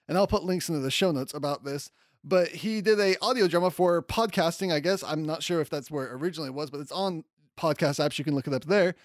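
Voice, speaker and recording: A man with a fairly high voice talks as a podcast host, giving casual, enthusiastic commentary. His delivery is conversational and open to self-deprecating asides, and the sound is close and dry. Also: no echo. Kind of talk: casual comics news commentary.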